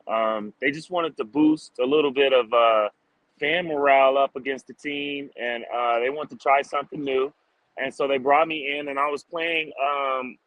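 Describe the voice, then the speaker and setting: A man's voice: medium pitch, a bit bright, dry recording, low-quality recording. Man, mid-range voice, dry recording, upbeat, informal, casual interview guest. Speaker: street drummer guest.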